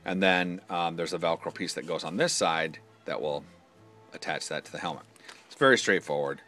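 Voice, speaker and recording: A man with a low, forceful voice walks through a product demonstration in a casual, practical way. He explains each part step by step in an informal manner, with music behind him. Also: moderate pace. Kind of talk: casual hands-on product walkthrough.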